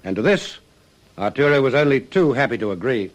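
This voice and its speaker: An actor playing a police case narrator, delivering scripted radio drama narration. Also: male voice, mid-range voice, expressive, brisk, close to an everyday voice. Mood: storytelling.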